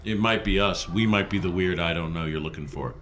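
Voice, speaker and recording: A man's voice: low-pitched, brisk, fairly bright tone, a little hiss or hum. A man playing a tabletop game in casual, joking chat.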